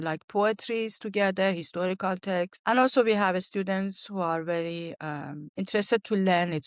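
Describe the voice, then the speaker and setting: An Iranian-accented woman speaks as an interview guest, her native language being Farsi. Her pitch sits in the middle for a woman and she feels her way through phrases in conversational English. The sound is dry, with no room echo. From Iran. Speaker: guest, language teacher and archaeologist.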